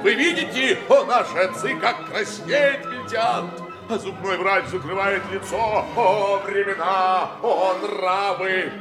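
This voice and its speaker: An actor playing a pompous, indignant defence lawyer, declaiming theatrical rhetoric with drawn-out words in a satirical radio play. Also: male voice, high voice, loud music behind the voice. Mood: accusing, outraged.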